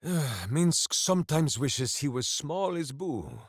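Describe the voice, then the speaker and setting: A hearty berserker hero for a video game, simple-minded and boastful. He declaims slowly and theatrically, swinging widely in pitch. The sound is close and dry. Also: big pitch swings, dry recording, exaggerated voice. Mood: hearty, earnest. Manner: bombastic, theatrical, speaks of himself in third person. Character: hearty, simple-minded, boastful heroic berserker warrior devoted to his hamster. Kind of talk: video game character voice lines.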